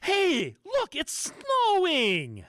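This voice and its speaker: A man's voice: very high-pitched, wildly swooping pitch, very slow, sharp tone, no echo, studio quality, heavily acted. A man playing a suggestible, docile travel agent husband in a comedic audio drama. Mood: cheerful, sentimental.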